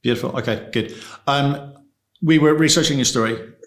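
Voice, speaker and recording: A man hosting a business interview, asking questions in a relaxed, conversational way and often repeating words. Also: medium pitch, normal speed. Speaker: interview host.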